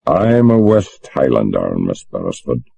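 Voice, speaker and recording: A man voicing a grave, steady older sailor with a Scottish accent, speaking slowly and with measured calm. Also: low-pitched, creaky, breathy edge, no echo, exaggerated voice.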